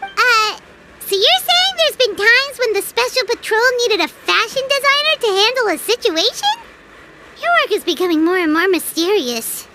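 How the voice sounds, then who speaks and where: A woman's voice: extremely high pitch, very animated, moderate pace, heavy background noise. A woman voicing a small, chirpy, curious childlike fairy companion in a video game, full of exclamations. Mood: curious, puzzled. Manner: exclaims, refers to self in third person.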